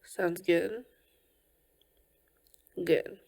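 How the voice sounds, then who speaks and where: A woman playing a tender, caring girlfriend in an ASMR comfort roleplay, speaking gently and reassuringly in a breathy voice that often slips toward a whisper. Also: lowish voice, steady tone, exaggerated voice. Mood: soft, affectionate. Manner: gentle, slow, reassuring, with soft pauses.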